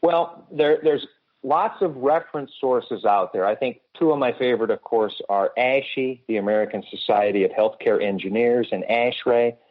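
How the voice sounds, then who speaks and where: A man explaining technical matters as a guest expert in a podcast interview, in a measured, careful way. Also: mid-range voice. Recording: muffled phone-like line.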